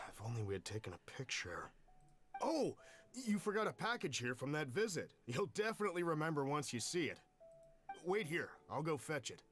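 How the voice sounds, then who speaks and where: A man's voice: fairly high pitch, big pitch swings, moderate pace, fairly bright tone, faint music behind. A man voicing a friendly, grateful villager and family man in a game, with an earnest, courteous, welcoming manner. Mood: puzzled, helpful. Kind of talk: game voice acting, storytelling dialogue.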